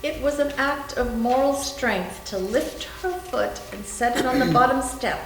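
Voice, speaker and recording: A woman reading scripted story narration in a readers theater performance. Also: mid-range voice. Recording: roomy echo, faint hum.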